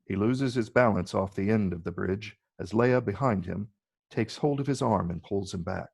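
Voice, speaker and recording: A man reading stage directions as scripted narration in a measured, descriptive way. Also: fairly low pitch, vocal fry, a little mellow, slightly rough. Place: virtual read, recorded remotely.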